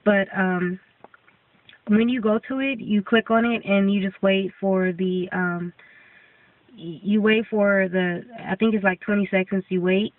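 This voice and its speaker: A woman's voice: medium pitch, fairly even intonation, unhurried, no room sound, recorded from a distance. A young woman vlogger giving a casual update, congested and coming down with a cold, rambling with many ums and restarts. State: congested, getting sick. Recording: tinny phone-like sound from off-mic.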